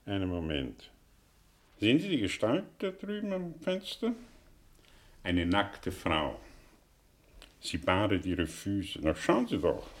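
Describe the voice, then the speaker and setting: An actor playing a melancholy, sardonic exiled professor in a German radio drama, musing and declaiming with long pauses. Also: male voice, sits low, big pitch swings, heavily acted. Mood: dreamy musing.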